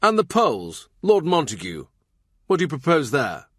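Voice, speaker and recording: A man reading a novel puts on a blustering nobleman, blunt and nostalgic. The voice is pushed higher and comes across emphatic, in a very dry recording. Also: wildly swooping pitch, normal speed, fairly bright tone, breathy edge, no echo, heavily acted. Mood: challenging. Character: blustering, nostalgic nobleman, old friend of the king.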